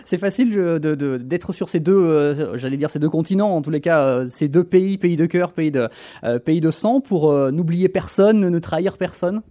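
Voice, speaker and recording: Quick, mellow-voiced male interviewer, raised pitch, hesitant questions. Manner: hesitant, many fillers.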